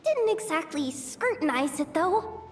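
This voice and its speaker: A woman's voice: very high-pitched, big pitch swings, unhurried, full character voice. A woman voicing a girlish, reluctant hireling in a video game, hesitant, dramatic and full of complaint. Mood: nervous, sheepish.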